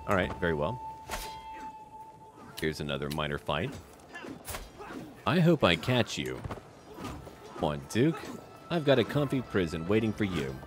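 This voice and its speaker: A man with a low voice narrates his strategy game in roleplay style. His pitch swings widely as he addresses the characters in short, clipped lines with playful menace. Faint music plays behind him. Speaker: gaming video creator playing a strategy game. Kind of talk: roleplay gameplay commentary, speaking to game characters.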